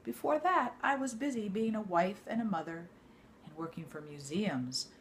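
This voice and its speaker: A woman reading an autobiography aloud like a teacher to students, in a measured, expressive storytelling way. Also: mid-range voice, a little hiss or hum.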